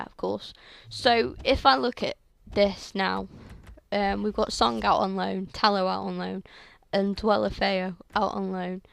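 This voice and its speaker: Young woman w a sore throat, croaky gravelly voice, chatty game commentary on a headset, mid pitch. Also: no room sound. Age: young adult. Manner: chatty, rambling, lots of fillers. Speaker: gaming channel host. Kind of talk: casual video game commentary. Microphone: headset microphone.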